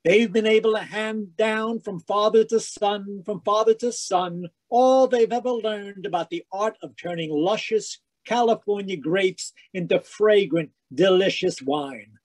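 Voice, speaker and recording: Man as a high-pitched, eager radio announcer. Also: measured pace, hardly any pauses. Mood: salesmanlike.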